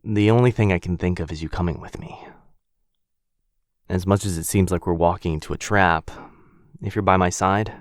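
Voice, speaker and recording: An actor playing a protective, brooding vampire lord in an intimate ASMR roleplay, speaking in a hushed, measured, halting way close to the mic. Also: male voice, fairly even intonation, dry recording, lightly acted. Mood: somber, apologetic. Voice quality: low, gravelly. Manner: hushed, measured, halting pauses, often dropping to breathy murmur. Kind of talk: boyfriend ASMR fantasy roleplay, intimate one-sided dialogue. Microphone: close microphone, dry.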